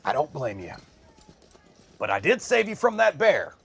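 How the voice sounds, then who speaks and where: A man's voice: fairly high pitch, wildly swooping pitch, normal speed, gravelly, a bit bright, husky, full character voice. A man playing a jittery, exasperated, hard-drinking character in an afterlife audio drama, speaking dramatically. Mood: weary, grudging.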